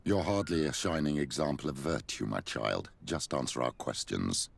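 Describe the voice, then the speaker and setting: An actor playing a stern older priest with a condescending manner in a video game. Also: male voice, moderate pace, full character voice. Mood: stern, knowing. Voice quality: deep gravelly voice.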